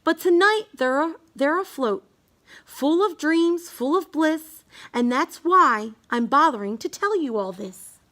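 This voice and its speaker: A woman reading a rhyming children's bedtime story aloud, halting now and then with small stumbles over words. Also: high voice, animated, fairly bright tone. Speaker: storybook reader.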